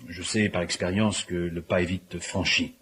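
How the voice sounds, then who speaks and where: An actor playing a middle-aged police superintendent in a radio drama, courteous and tactful but firm, speaking formally. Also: male voice, low voice, fairly even intonation, normal speed, fairly bright tone, slightly rough, exaggerated voice. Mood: measured, firm. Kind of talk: naturalistic French radio drama acting.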